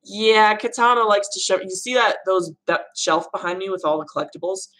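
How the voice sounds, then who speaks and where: A young woman with a low voice, hosting a casual live Q&A stream from her room at home, chatty and rambling. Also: a little fast, fairly bright tone. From Arizona, USA. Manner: chatty, rambling, laughs often. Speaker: livestream host answering viewer questions.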